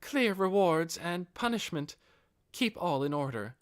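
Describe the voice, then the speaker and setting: A man's voice: high-pitched, expressive, unhurried, pitched above the performer's own voice. A man voicing a devoted, earnest female guardian captain in an audiobook, with polite, deferential phrasing. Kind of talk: audiobook character acting.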